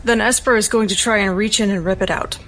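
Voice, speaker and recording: A woman's voice: mid-range voice, fairly even intonation, fast, fairly bright tone, soft background music. A woman playing a tabletop roleplaying game, narrating her character's actions in the third person.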